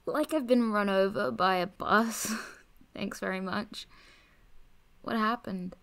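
A woman's voice: medium pitch, takes it slowly, creaky, fairly bright tone. An actress playing a wry, teasing young woman who is ailing, in an audio drama, at times murmuring weakly. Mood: wry, weary. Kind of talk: naturalistic audio drama acting.

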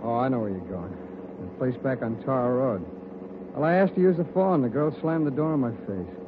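A man's voice: mid-range voice, narrow, tinny audio, noisy background, natural voice. An actor playing a sarcastic, defensive suspect in his thirties, in 1940s radio acting style on an old broadcast. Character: sneering male suspect, thirty-one, cocky clothing salesman.

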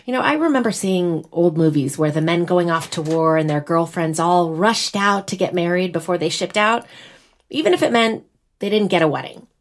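An actress playing a wistful, teasing young bride in a naturalistic audio drama. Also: female voice, fairly low pitch, lively intonation, moderate pace. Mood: wistful frustration. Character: wistful, teasing young bride whose wedding was cancelled.

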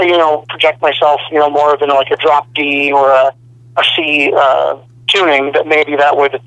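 A young man, a rock band's singer, talking on a phone interview in a relaxed, rambling way full of "you know.". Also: fairly high pitch, no room sound. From Arizona. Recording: dry telephone line.

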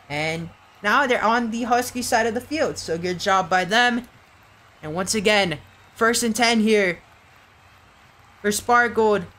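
A tired young man doing casual, rambling play-by-play commentary for an online game league, getting excited on big plays. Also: sits high, a little hiss or hum.

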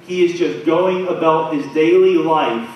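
A man in his thirties preaching a sermon in a small church, his phrases rising and falling in a measured way. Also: fairly high pitch, muffled recording. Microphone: far camera, pulpit mic. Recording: very echoey church from far off, faint noise.

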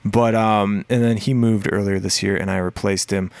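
A man with a deep voice, a musician guest in a casual podcast interview, talking in a rambling way with many fillers and restarts. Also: fairly even intonation, normal speed, gravelly, smooth, no echo. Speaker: guest musician, singer and guitarist.

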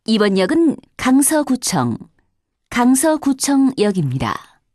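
A woman's voice: fairly high pitch, sing-song, takes it slowly, a little mellow, no room sound, clean studio sound. A woman reading formal scripted subway announcements in a polite, carefully enunciated way.

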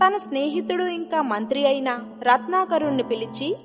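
A woman's voice: high-pitched, lively intonation, moderate pace. A woman giving scripted narration of a moral story as its narrator. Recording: long hall-like reverb, music behind.

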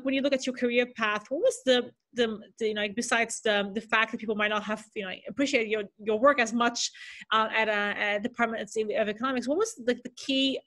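A woman's voice: medium pitch, fairly bright tone. A woman in her thirties hosting an academic interview over a video call, with chatty, hesitant phrasing. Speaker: talk show host, academic interviewer. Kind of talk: conversational interviewing. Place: indoor home room, video call.

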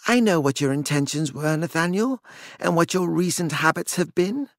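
Male narrator doing a icy bossy woman, higher voice, formal and severe. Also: expressive, normal speed, no room sound, studio quality. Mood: cold, stern. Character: cold, stern, commanding woman.

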